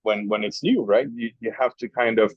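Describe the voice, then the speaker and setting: A man from Switzerland talks casually over lunch in a fairly low voice, circling back and restarting as he tells a story. The sound is dull and muffled, with no room echo. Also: normal speed. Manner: rambling, with restarts and long asides. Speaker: interview guest, cigar maker.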